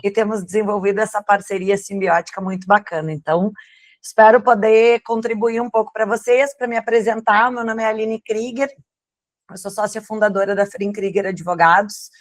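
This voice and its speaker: A woman's voice: medium pitch, steady tone, moderate pace, no room sound. A woman from southern Brazil with a gaúcho accent, presenting as a guest expert and explaining in a conversational way, often answering her own questions. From Rio Grande do Sul, southern Brazil. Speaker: tax lawyer and lecturer, guest speaker. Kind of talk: explanatory presentation to an online audience.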